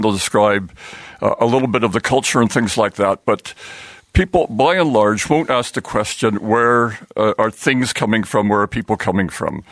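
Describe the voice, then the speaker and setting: A man's voice: on the low side, a bit bright, no room sound. An American man, a professor guesting on a radio interview, explaining his ideas and thinking aloud as he talks. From United States.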